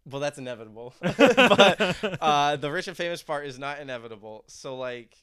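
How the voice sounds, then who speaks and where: Young man, musician guest, casual rambling chat, smooth voice, dry close mic. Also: on the high side, lively intonation, normal speed, a bit bright, no echo. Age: young adult. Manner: laid-back, rambling, laughs often.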